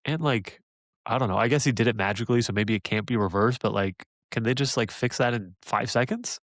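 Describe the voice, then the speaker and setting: A man's voice: mid-range voice, fairly quick, fairly bright tone, no room sound, studio quality. A man co-hosting a podcast in casual chat, rambling and hesitant, laughing often. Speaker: podcast co-host.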